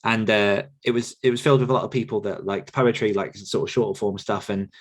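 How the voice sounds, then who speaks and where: A man's voice: fairly low pitch, steady tone, a little fast. A man hosting a casual podcast interview, talking in an encouraging, conversational way. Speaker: podcast host and writing coach.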